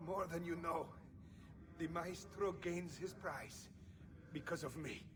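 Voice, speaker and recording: A man's voice: on the high side, takes it slowly, background noise. A man playing a sly, cruel Italian mercenary in a video game, mock-courteous and menacing, with an Italian accent. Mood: bitter defiance. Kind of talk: video game villain acting.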